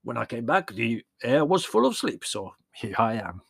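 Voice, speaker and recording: A man voicing an excitable, hearty old Greek shepherd in a storybook, emphatic and given to repeating himself. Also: mid-range voice, normal speed, gravelly, slightly rough, no room sound, clean studio sound, heavily acted. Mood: expansive, rueful. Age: older. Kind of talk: storybook character voice.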